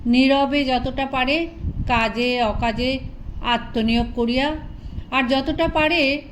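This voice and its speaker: A woman reading a literary short story aloud as an audiobook narrator, in an expressive storytelling manner. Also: high voice, slow, a little hiss or hum, poor audio.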